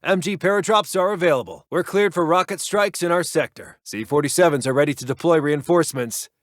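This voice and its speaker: A man acting as an American wartime intelligence officer for a strategy game. His voice sits slightly high for a man and is recorded very dry. He delivers lines in a clipped, authoritative, by-the-book military manner. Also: a little fast, dry recording, natural voice. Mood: brisk, official. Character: brisk, commanding male American WWII intelligence officer. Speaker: video game military announcer. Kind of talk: scripted war game unit voicelines.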